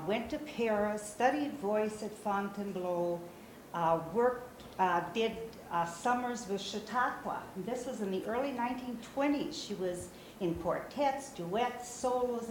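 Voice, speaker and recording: Older Brazilian-born woman talking in echoey room. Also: mid-range voice. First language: Portuguese. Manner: conversational, frequent "uh" pauses, gestures while speaking. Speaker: memoir author giving a talk. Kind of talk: informal talk to a small audience. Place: small meeting room, indoors. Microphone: far camera. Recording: roomy echo, faint room noise.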